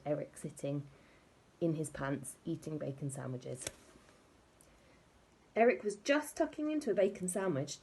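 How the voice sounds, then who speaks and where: A woman reading a children's story aloud in a wry, expressive way. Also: on the low side, breathy edge. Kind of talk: animated storybook read-aloud.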